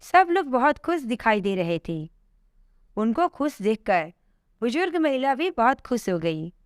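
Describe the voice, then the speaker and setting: A woman narrating a scripted moral story in a measured, expressive storytelling manner. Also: a little high, smooth, no room sound, clean studio sound.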